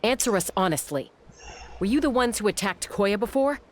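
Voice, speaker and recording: An actress voicing a calm, resolute young woman in a game, steady and earnest. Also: medium pitch, moderate pace, a little hiss or hum, natural voice. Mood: serious. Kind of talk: game dialogue.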